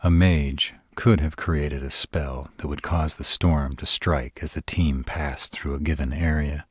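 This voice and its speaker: A man performs an arrogant vampire in an audio roleplay. His voice is extremely deep and heavily rasped. He speaks slowly and with dramatic weight, recorded dry and close. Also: steady tone, no room sound, full character voice. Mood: suspicious. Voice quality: very deep, rough husky voice. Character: arrogant male vampires plotting, grave and cocky. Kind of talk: scripted audio roleplay acting.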